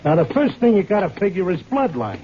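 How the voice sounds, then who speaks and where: A man's voice: high-pitched, big pitch swings, a little fast. An actor playing a stubborn, devoted horse-race handicapper in a vintage radio drama, talking in slangy wise-guy style. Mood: eager explaining. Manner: slangy, streetwise Broadway wise-guy talk. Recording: dull old radio recording with music.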